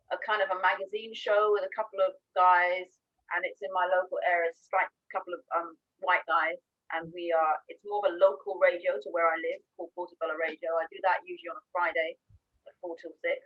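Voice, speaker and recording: A woman's voice: fairly low pitch, steady tone, brisk, lo-fi sound. A woman in her fifties, originally from Trinidad, telling stories in an earnest, reflective way over a video call. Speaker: radio host and guest.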